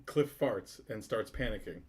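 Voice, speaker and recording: A man's voice: lowish voice, measured pace, a little mellow. A man reading stage directions aloud in a matter-of-fact way. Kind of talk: scripted narration.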